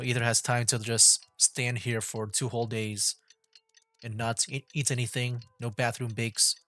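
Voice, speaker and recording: Man, game streamer, casual commentary, joking, thinking aloud, average pitch, soft background music. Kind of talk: casual livestream gameplay commentary.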